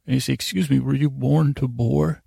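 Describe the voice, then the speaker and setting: A man hosting a bedtime podcast, rambling and halting through tangents with loose, slightly slurred diction to lull listeners to sleep. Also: mid-range voice, fairly even intonation, vocal fry, dark tone, breathy edge, no room sound, studio quality. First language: English. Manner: meandering, halting, repeats and trails off into tangents. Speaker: podcast host.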